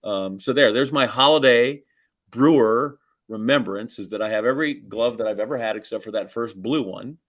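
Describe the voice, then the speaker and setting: A man's voice: mid-range voice, normal speed. A man, chatting casually and telling rambling, folksy anecdotes as a podcast co-host. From Wisconsin, United States.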